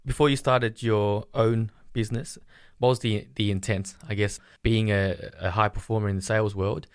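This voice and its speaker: Male host, lowish voice, halting questions, dry close sound. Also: fairly even intonation, smooth, no room sound. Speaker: podcast host. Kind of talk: interview questions.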